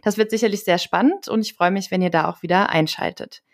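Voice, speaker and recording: A woman's voice: medium pitch, quick pace. A woman hosting a podcast, moderating an interview in a conversational way and sometimes searching for words. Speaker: podcast host.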